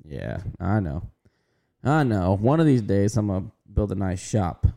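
A man's voice: on the low side, sing-song, unhurried, gravelly, mellow. A young man co-hosting a podcast, chatting casually and rambling with a laid-back manner. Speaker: podcast co-host. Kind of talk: casual chat.

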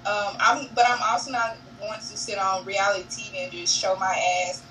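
A woman's voice: medium pitch, moderate pace. A young woman in a casual interview on a video call, candid and laughing often, calling people honey and y'all. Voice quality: naturally monotone voice. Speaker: reality show cast member, guest. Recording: distant mic with faint noise.

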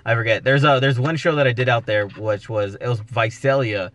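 A man's voice: medium pitch, a little fast, a crowd in the background. A man, a comedian and podcast host, telling a rambling story with casual swearing. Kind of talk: casual chat.

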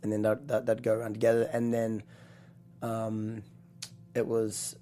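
A man with a New Zealand accent, a choreographer telling stories as an interview guest, animated, laughing often and restarting his sentences. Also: fairly low pitch, a little slow. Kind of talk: casual interview chat.

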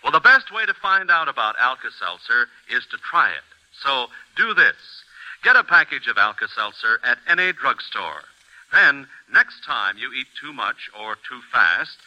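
Very bright, animated male radio pitchman. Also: moderate pace. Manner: persuasive, polished, direct address. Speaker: commercial announcer. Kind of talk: scripted classic radio advertising pitch. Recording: slightly dull vintage radio recording.

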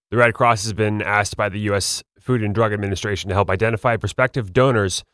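Even-voiced male host reading aloud. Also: mid-range voice, dry recording, studio quality. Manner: measured, reads aloud evenly. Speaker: radio host. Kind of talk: talk radio interview.

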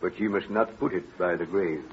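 An actor playing a grave, gently firm pioneer preacher with archaic, solemn phrasing in an old radio drama. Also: male voice, low-pitched, moderate pace. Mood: grave, gentle. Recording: muffled, noisy vintage radio recording.

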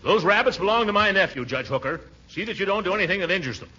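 An American actor playing a pompous, blustering middle-aged bachelor uncle in a 1940s radio comedy. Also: male voice, high voice, expressive, quick pace, sharp tone. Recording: muffled, noisy 1940s radio recording.

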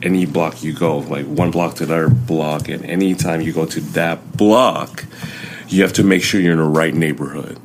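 A young man from Southern California whose first languages were Chinese and Vietnamese, telling his life story haltingly as an interview guest. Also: very deep voice, fairly even intonation, slight background noise. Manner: plain, halting storytelling with many fillers. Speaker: guest, CrossFit coach.

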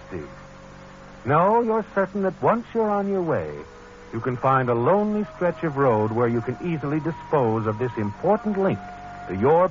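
Ominous vintage radio suspense narrator played by a man, knowing and dramatic, very animated delivery, dull old broadcast sound. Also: mid-range voice, a little hiss or hum, close to an everyday voice. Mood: knowing, taunting. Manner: knowing, dramatic, addresses the character directly. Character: ominous male narrator needling the guilty protagonist. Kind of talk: 1940s radio thriller narration in second person. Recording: muffled old radio recording with music.

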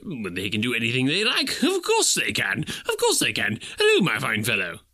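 A man voicing an old miser turned overjoyed, with high, excitable exclamations. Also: moderate pace, exaggerated voice. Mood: eager. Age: older.

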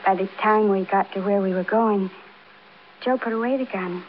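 An actress as childlike, defiant housewife in noisy old radio drama. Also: female voice, medium pitch, normal speed, muffled recording, lightly acted. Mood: wistful, detached. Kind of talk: 1950s radio crime drama acting.

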